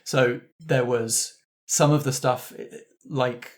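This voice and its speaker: A man's voice: medium pitch, fairly even intonation, slow. A man talking as a game master in a casual backstage discussion, explaining things thoughtfully. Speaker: game master of a tabletop roleplay show.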